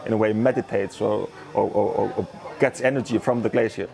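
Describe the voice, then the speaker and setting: A man, speaking thoughtfully in a documentary interview, measured and unhurried. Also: lowish voice, steady tone, vocal fry, crowd noise. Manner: thoughtful, measured, with pauses and fillers.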